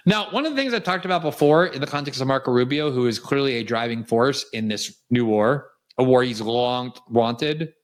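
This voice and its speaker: A man's voice: mid-range voice, lively intonation, moderate pace, hardly any pauses. A man from South Florida hosting a political commentary show, delivering an emphatic, indignant, cutting monologue. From South Florida, USA. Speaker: host.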